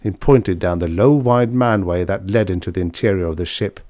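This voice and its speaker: A man's voice: deep voice, normal speed, darkish tone, no echo, studio quality. A man reading a vintage science fiction story as scripted audiobook narration.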